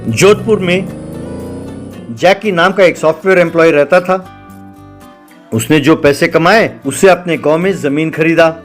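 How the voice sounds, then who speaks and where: A man's voice: fairly high pitch, unhurried, long pauses, soft background music. A man reading scripted narration for a comedy story as its narrator.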